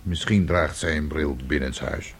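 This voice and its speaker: An actor in a radio drama playing a passive, grumbling middle-aged husband with a husky voice and an evasive manner. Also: male voice, very deep voice, unhurried. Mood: resigned muttering. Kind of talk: radio drama acting in Dutch.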